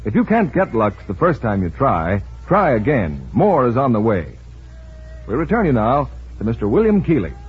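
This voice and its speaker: A man announces a scripted 1940s radio advertisement with lively intonation. His voice is very husky, at a middle pitch, and the recording is dull. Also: normal speed. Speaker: announcer reading sponsor's advertisement.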